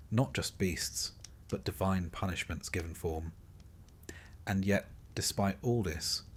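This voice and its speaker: A man reading a scripted sleep story as narrator, calm and measured, with dry wry asides. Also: on the low side, husky.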